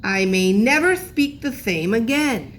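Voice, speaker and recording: Woman putting on an agitated old grandpa, drawn-out words. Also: fairly high pitch, expressive, a bit bright. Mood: moaning. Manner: slow, drawn-out, toothless-sounding speech. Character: agitated, aggrieved old grandfather who lost his false teeth. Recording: clearly noisy room recording.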